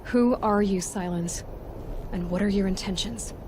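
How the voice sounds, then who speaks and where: A woman's voice: medium pitch, measured pace, a little mellow, slight background noise, lightly acted. An actress playing a headstrong young woman adventurer in a video game cutscene, speaking bluntly and with determination. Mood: probing.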